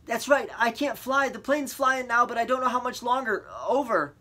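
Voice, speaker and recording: A man's voice: very high voice, normal speed, bright, forceful tone, pitched above the performer's own voice, full character voice. A man voicing a terrified teenage boy. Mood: panicked. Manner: panicked, stammering, pleading, on the edge of crying. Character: terrified teenage boy alone in a small plane. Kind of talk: audiobook character voice.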